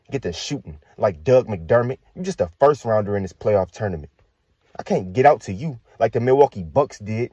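A young man with an American accent and a husky, rough voice, delivering aggressive, boastful battle rap verses a cappella. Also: medium pitch, dark tone, no room sound, highs cut off. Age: young adult. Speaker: battle rapper.

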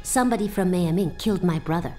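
A woman's voice: lowish voice, fast, darkish tone, natural voice. A woman playing a cocky, flirtatious hitwoman in a video game, sassy and nonchalant. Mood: cool, nonchalant. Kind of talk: video game cutscene acting. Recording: music behind the voice.

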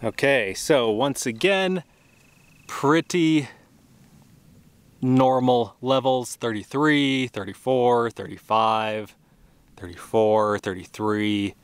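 A man's voice: mid-range voice, slow, smooth, no echo. A young adult man narrating a casual outdoor travel vlog, easygoing and thinking aloud, with small laughs as he goes. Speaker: travel vlogger. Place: outdoors at a remote test site.

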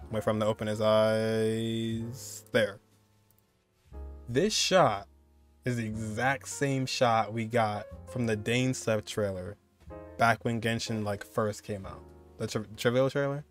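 A man's voice: mid-range voice, a bit bright. A man in his twenties giving loose, conversational, slangy reaction commentary as a video host.

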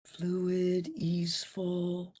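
A woman guides a meditation in a slow, relaxed voice. Her tone is breathy and a little husky, and she leaves long gaps between short, soothing phrases. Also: fairly low pitch. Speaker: meditation instructor.

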